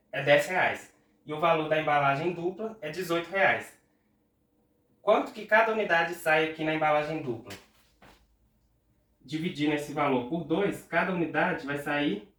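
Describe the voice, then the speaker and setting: Brief: Brazilian man, nasal and congested from a cold, slightly high voice, patient math tutor reading out the arithmetic in an echoey room. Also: a bit bright, recorded from a distance. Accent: Brazilian Portuguese. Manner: patient, talks through arithmetic aloud slowly. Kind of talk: step-by-step exam problem walkthrough.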